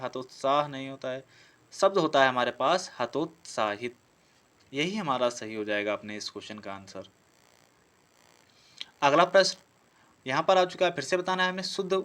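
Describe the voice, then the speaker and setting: A man's voice: mid-range voice, a bit bright. A man teaching an exam-prep class, explaining step by step and answering his own questions. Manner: explanatory, poses questions and answers them himself. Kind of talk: exam lesson, walking through practice questions.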